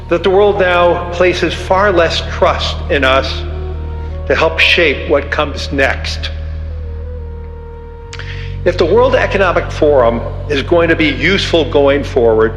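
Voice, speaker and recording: Male conference leader, formal and measured, slightly high voice, strong hall echo. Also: a bit bright. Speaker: event leader giving opening address. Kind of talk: formal prepared speech. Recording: echoey hall reverb, music underneath.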